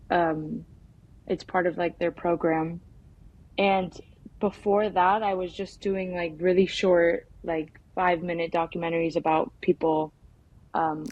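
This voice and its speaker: A young woman from the United States answering interview questions as a documentary filmmaker, in a casual, halting, informal way full of filler words. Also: on the low side, darkish tone, lo-fi sound. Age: young adult.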